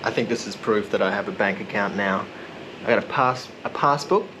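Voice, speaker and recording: A man narrating a casual vlog in a relaxed, rambling, self-deprecating way. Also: lowish voice, brisk, noisy background. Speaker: vlogger.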